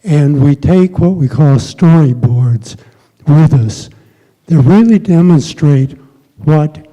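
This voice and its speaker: An older man addresses a meeting slowly and deliberately, one phrase at a time. His voice is very soft and mellow, heard through dull sound in a reverberant hall. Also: a little high. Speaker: activist addressing a community meeting. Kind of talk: spontaneous public appeal. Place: large synagogue hall, indoors. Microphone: handheld microphone.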